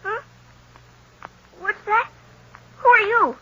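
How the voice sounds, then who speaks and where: Casting: actress as scared little American boy, piping high, pleading, muffled 1930s radio drama with faint noise. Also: slow pace, a bit bright, full character voice. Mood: tearful, scared. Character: frightened, tearful little boy, polite and pleading.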